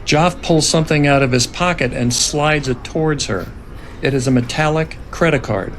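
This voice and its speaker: A man with a gravelly voice reading scene directions as narrator of a dramatic script reading. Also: moderate pace. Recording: noticeable background rumble.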